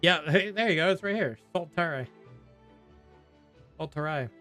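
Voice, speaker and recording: Higher-pitched man, casual gaming commentary. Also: lively intonation, normal speed. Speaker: gaming streamer. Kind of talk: casual playthrough commentary, reading game text aloud. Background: soft game music.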